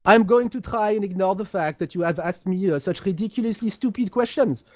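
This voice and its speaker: A man voicing a pompous, condescending highborn aristocrat of a galactic empire in a halting, haughty delivery, as in an amateur role-play of game lore. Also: darkish tone, lightly acted. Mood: indignant, disdainful.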